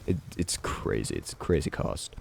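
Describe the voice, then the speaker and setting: A teenage guy from Canada, sick and stuffed up with a raspy voice, talking through his uncertain future in a hesitant, reflective vlog with many restarts. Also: a bass voice, big pitch swings, normal speed, no room sound. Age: teens. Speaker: YouTuber.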